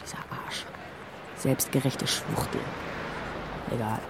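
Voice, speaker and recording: A woman's voice: extremely low pitch, fairly even intonation, takes it slowly, noisy background, full character voice. Sardonic, bitter teenage girl. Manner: sardonic, confiding. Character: bitter, self-mocking teenage punk-loving girl. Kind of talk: first-person audiobook drama monologue.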